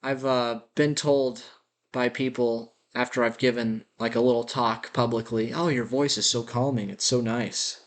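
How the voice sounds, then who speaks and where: Guy with a slight lisp rambling into his laptop mic, musing and self-deprecating, mid pitch. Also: steady tone, moderate pace. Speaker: vlogger. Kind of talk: rambling personal audio journal. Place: house, indoors, walking around. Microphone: built-in laptop mic. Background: dogs' claws clicking.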